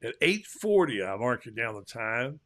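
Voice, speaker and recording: An older man hosting a live show in a chatty, folksy way, calling out loudly to his guests. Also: medium pitch, sing-song, moderate pace. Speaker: host. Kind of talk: casual live show chat.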